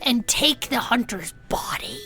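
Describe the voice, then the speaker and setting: A woman playing an eager, chatty turtle-folk podcast host in a comedic audio drama, talking in an informal, halting way. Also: fairly high pitch, big pitch swings, sharp tone, soft background music, full character voice. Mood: eager theorizing. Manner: informal, halting, checks in often. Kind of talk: conversational comedic audio fiction.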